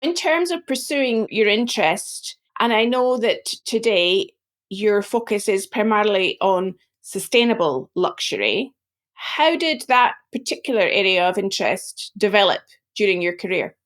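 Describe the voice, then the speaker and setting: A woman hosting a podcast, introducing her guest and asking gentle, open questions. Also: medium pitch, animated, unhurried. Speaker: podcast host. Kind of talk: interview hosting.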